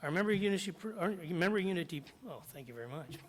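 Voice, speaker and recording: A middle-aged man, slightly hoarse after jaw surgery, reading prepared remarks at a podium in a large meeting hall and stopping often to clear his throat. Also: sits high, expressive, quick pace, slight background noise. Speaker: union chapter president. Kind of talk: public comment at a board meeting. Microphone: podium microphone.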